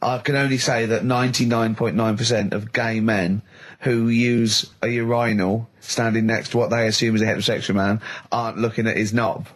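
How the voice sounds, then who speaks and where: A man's voice: on the low side, little pitch movement, brisk. A man co-hosting a radio show, arguing in casual, teasing comic banter.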